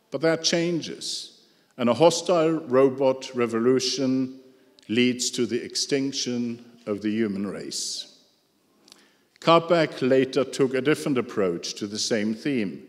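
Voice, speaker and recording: A man with a non-native English accent giving a prepared lecture, measured and dryly ironic, with asides to the audience. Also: medium pitch. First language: not English. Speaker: speaker giving a talk. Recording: echoey hall with long reverb.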